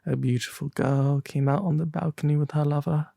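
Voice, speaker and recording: A performer voicing a refined owl demon prince, reading a storybook tale softly and gently in ASMR style. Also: mid-range voice, steady tone, creaky, no echo, studio quality. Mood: gentle, soothing. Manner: slow, gentle, theatrical storytelling.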